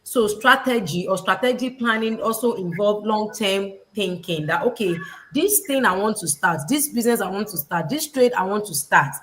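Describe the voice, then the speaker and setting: A woman with a Nigerian accent hosts a motivational livestream. She sounds preachy and hammers her key phrases home by repeating them, often leaning on scripture. Her pitch sits in the middle range. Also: expressive, normal speed, a bit bright. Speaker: host. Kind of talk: livestream panel talk, motivational.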